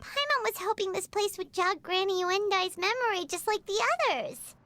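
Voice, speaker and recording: A woman voicing a tiny, childlike fairy companion in a video game, squeaky, eager and earnest. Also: expressive, moderate pace, fairly bright tone, soft background music. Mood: encouraging. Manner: eager, refers to herself by name.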